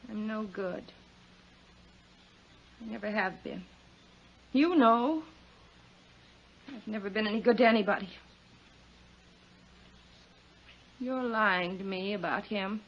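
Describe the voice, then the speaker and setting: A woman's voice: medium pitch, unhurried, long pauses, lightly acted. An actress playing an anguished, despairing young woman threatening to jump in a 1950s radio drama. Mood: bleak, resigned. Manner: anguished, weary, self-pitying. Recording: muffled, noisy 1950s radio recording.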